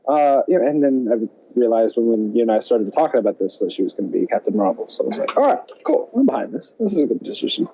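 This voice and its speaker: A man's voice: mid-range voice, big pitch swings, fast, hardly any pauses, mellow, slight background noise. A man co-hosting a podcast in a laid-back, slangy, casual way. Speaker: podcast co-host. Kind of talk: casual chat. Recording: dull, muffled lo-fi sound.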